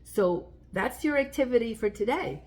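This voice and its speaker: A woman's voice: fairly high pitch, expressive, normal speed. A woman hosting a children's story time, reading aloud and speaking directly to young listeners in simple, explanatory language.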